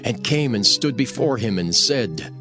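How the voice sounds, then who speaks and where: A man's voice: medium pitch, sing-song, a little slow, over music. A man narrating scripture in a dramatized, scripted storytelling style.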